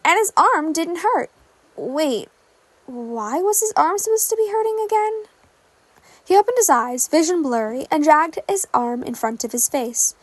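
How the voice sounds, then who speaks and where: A woman narrates a scripted story in a high voice. The sound is dry and close, as an amateur audio fiction reading with no room around it. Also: lively intonation, measured pace, no room sound. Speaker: fan fiction reader.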